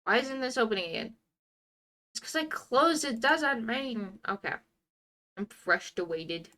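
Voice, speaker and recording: A woman's voice: on the high side, animated, measured pace, bright, forceful tone. A young woman with a congested nose chatting casually on a game stream, drifting into muttered asides to herself. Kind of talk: casual live stream chat while playing a game.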